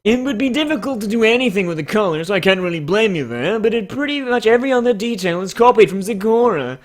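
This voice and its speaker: A man performs a stern male critic for a comic dramatic reading. The voice is on the higher side, and the delivery is formal and articulate, handing down measured criticism with deliberate care. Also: animated. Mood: measured, critical.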